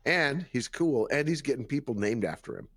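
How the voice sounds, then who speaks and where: A man's voice: medium pitch, animated, normal speed, fairly bright tone, no echo. A man hosting a podcast, playful and jokey, chatting casually with his guest. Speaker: podcast host. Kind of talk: casual conversation.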